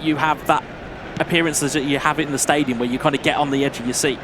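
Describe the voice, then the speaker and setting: A man's voice: fairly high pitch, fairly even intonation, fast talker, background noise. A man, a former competitor, giving thoughtful co-commentary on an esports football match with frequent fillers and restarts. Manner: reflective, hesitant with fillers. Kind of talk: live esports commentary analysis.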